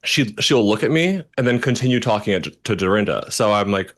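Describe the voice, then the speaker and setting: A man in his thirties with a deep, low voice tells chatty, animated stories from his makeup work. He leaps into other people's voices when he quotes them. It is a relaxed, casual guest chat. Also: fairly quick. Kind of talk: casual anecdote telling.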